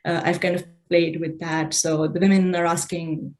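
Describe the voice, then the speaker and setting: A woman with a lowish voice whose first language is Urdu talks through her own artwork. She speaks thoughtfully and haltingly, restarting her sentences often. The sound comes through a video call. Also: flat delivery, darkish tone. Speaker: exhibiting artist. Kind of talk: explaining her own artwork in a casual talk.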